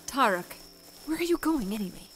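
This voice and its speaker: A woman's voice: a little high, very animated, moderate pace, a bit bright, faint music behind. A woman playing a bold young barmaid in a fantasy audio drama, earnest, questioning and stubborn. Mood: determined. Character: bold, determined young barmaid setting out adventuring.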